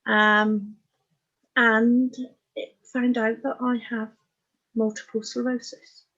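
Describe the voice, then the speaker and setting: A woman in her sixties with an English accent, telling her life story as an interview guest in a frank, halting, matter-of-fact way. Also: on the high side, flat delivery, fairly bright tone, dull audio. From Oxfordshire, England. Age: 60s. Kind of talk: candid personal life story in conversation.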